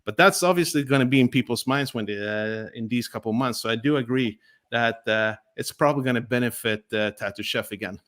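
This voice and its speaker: A man's voice: mid-range voice, a little fast, a bit bright. Rambling man tagging "right?". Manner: rambling, frequent "right?" tags. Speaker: co-host. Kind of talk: casual investor livestream chat.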